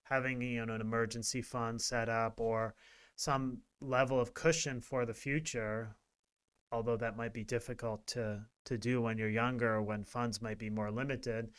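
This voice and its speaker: A man's voice: mid-range voice, normal speed, clear, resonant tone. A man co-hosting a wellness podcast, talking casually with lots of fillers and restarts. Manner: relaxed, rambling with many fillers. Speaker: podcast co-host. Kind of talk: casual chat.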